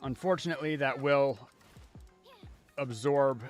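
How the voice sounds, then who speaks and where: A man's voice: mid-range voice, a little slow, soft background music. A man giving casual live commentary as he plays a video game, talking half to himself as a streamer. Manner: casual, muttering self-talk while playing.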